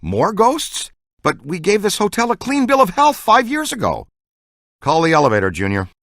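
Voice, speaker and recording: Excitable, bright-voiced ghost hunter guy. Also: sits high, moderate pace, dry recording, studio quality, heavily acted. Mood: annoyed, alert. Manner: enthusiastic, slangy, folksy team-leader talk. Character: eager, excitable middle-aged male ghost hunter mentoring a rookie. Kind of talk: video game voice acting.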